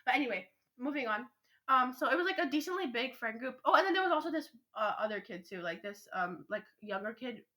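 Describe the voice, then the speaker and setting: A young teenage girl with a stuffy nose, chatting and telling stories casually as a podcast co-host in an excitable, rambling way. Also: a little high, fast talker.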